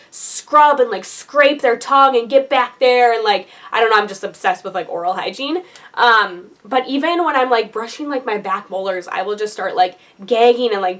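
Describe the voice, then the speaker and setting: Bright, chatty young woman vlogger. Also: medium pitch. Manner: chatty, many fillers and "like"s. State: congested. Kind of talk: casual vlog update.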